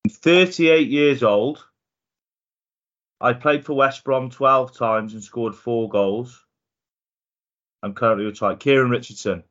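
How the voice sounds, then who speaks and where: A man's voice: medium pitch, slow pace, pauses often, fairly bright tone. A man hosting a casual football podcast, upbeat and competitive, thinking aloud while he guesses. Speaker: podcast host. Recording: dull lo-fi podcast audio.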